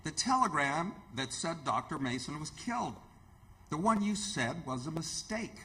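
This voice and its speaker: An actor playing an insistent, alarmed doctor, emphatic and confronting, in old-time radio theatre. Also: male voice, fairly high pitch, sing-song, moderate pace, bright, forceful tone, slight background noise. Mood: accusing. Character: urgent, insistent, alarmed male hospital doctor.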